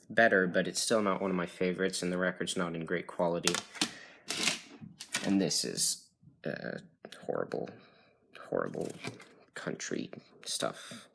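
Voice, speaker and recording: Guy w a low voice showing off his collection, kinda unsure and rambly, phone video with some noise and clicks. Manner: hesitant, unsure, self-correcting. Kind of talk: casual rambling show-and-tell. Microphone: handheld phone.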